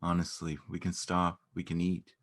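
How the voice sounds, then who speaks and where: Actor as awkward, kindly man for stage dialogue; deep voice, tentative, understated, circling back over his words. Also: monotone, normal speed, a bit bright. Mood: subdued, concerned. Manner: hesitant, repeats himself, understated. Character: gentle, awkward, anxiously supportive man. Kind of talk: dramatic reading of a stage play dialogue.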